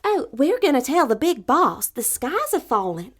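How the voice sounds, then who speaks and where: A woman plays an excited little storybook chicken, pitched high and swooping. The delivery is singsong, earnest and full of exclamation. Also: normal speed. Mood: excited, urgent. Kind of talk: fairy tale storytelling.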